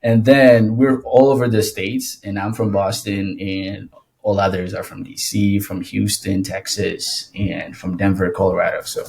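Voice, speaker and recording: A man's voice: lowish voice, unhurried, gravelly, slight background noise. A young man, a podcast guest chatting loosely with many restarts. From Ethiopia. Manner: relaxed, rambling with frequent restarts and laughs. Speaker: podcast guest, worship singer. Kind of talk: casual conversational interview.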